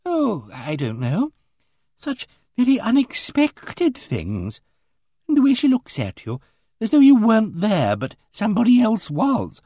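A man's voice: sits high, wildly swooping pitch, unhurried, mellow, no room sound, pitched above the performer's own voice, heavily acted. A man voicing a timid, fussy elderly spinster lady with a genteel, fluttering, reproachful manner, in an audiobook. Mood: confiding. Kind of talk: audiobook character voice.

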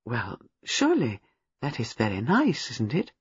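A woman reading a storybook, playing a gentle, shrewd old lady with a soothing, measured manner. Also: low-pitched, big pitch swings, slightly rough, no echo, muffled recording, heavily acted. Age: older.

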